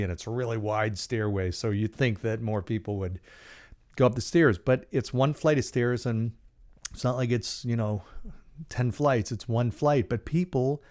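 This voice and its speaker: A man in his forties hosting a solo leadership podcast in a small treated studio, talking casually with jokes and lively gestures. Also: medium pitch. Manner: casual, joking, animated with hand gestures. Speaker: podcast host. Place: small studio with dark acoustic panels. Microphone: large studio condenser mic in front.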